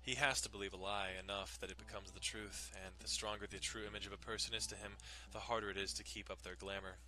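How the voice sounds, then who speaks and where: Low-pitched man narrating, very bright forceful tone. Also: steady tone, fast. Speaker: narrator doing dramatic readings. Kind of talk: dramatic narration of a visual novel.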